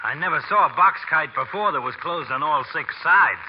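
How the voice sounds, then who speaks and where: An actor playing a grumbling, sarcastic doctor with dry, needling wit in a 1940s radio comedy. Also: male voice, a little high, expressive, brisk, natural voice. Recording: very noisy, muffled 1940s radio recording.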